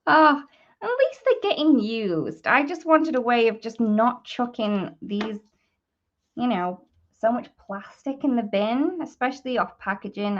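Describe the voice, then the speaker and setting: A woman's voice: fairly high pitch, dull audio. A woman hosting a live craft tutorial, chatting informally to viewers and thinking aloud as she works. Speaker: host of a live papercrafting demonstration.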